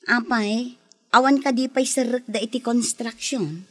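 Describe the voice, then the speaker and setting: An actress playing a sympathetic, gossipy friend and neighbour in a radio drama, with an Ilocano Filipino accent. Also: female voice, a little high, measured pace. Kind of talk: Philippine radio drama acting.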